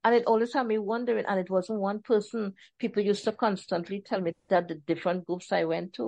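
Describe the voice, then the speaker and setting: A woman from Trinidad and Tobago, an author chatting in an interview, animated and acting out the voices she quotes. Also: mid-range voice, a little fast, vocal fry. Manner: animated, laughing storyteller who acts out quotes. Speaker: author guest. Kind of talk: casual interview chat.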